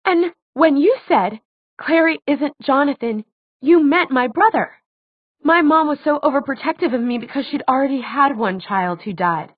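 A woman's voice: high voice, lively intonation, moderate pace, no echo, narrow, tinny audio, pitched above the performer's own voice. A woman voicing an upset, sarcastic teenage girl in an audiobook, emphatic and incredulous in her delivery. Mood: realizing, urgent.

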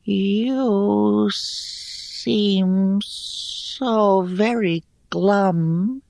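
A man voicing a frail, aged female tree creature, glum and guilt-ridden, in a slow, thin, quavering falsetto for a fantasy audiobook. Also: gravelly, darkish tone, no echo, exaggerated voice. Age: older.

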